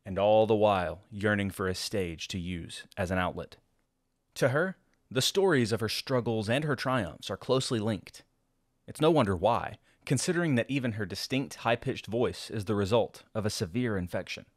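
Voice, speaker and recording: Low-voiced solemn male narrator. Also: moderate pace, dry recording, clean studio sound. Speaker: documentary narrator. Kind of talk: scripted narration. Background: faint background music.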